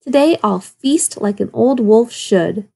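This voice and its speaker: A woman's voice: on the high side, lively intonation, slow, gravelly, dark tone, husky, full character voice. A woman voicing a sly, grumbling old male wolf in a children's storybook read-aloud. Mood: surprised, pleased. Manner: growling, wheedling, grumpy.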